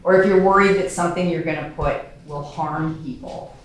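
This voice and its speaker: A woman's voice: sits low, steady tone, moderate pace. A woman speaking as an editorial director, encouraging and affirming as she explains the work. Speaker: editorial director of a peer newsroom. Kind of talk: interview remarks and meeting talk. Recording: roomy echo, chatter of a meeting.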